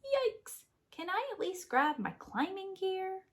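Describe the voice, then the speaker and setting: A woman's voice: very high-pitched, very animated, takes it slowly, a bit bright, pitched above the performer's own voice, heavily acted. A woman voicing a whiny, reluctant fairy-tale boy who complains and talks back in a children's storybook. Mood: indignant. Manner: complaining, protesting, exclaiming. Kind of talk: children's storybook read-aloud.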